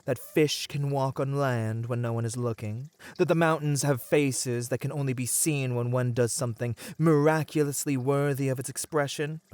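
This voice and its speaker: Guy doing a bitter proud merman for asmr roleplay, mid pitch, fancy old-timey wording, really dry close mic. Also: dry recording, natural voice. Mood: scornful, bitter. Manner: formal, eloquent, archaic phrasing. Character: captive merman siren, proud, bitter, melancholy sea creature. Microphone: close studio mic, dry.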